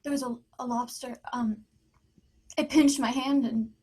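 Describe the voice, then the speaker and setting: An actress plays a frightened, determined mother in a screenplay read. Her voice sits fairly high and is very breathy. She sounds like a woman under threat. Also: steady tone, measured pace, warm tone. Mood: nervous stalling. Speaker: actor in a screenplay table read. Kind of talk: dramatic screenplay reading.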